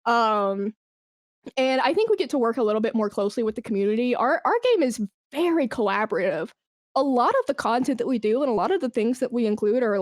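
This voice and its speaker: A woman's voice: a little high, no room sound, studio quality. A woman chatting casually as an interview guest, enthusiastic and informal, with some swearing. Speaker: podcast guest, esports brand manager.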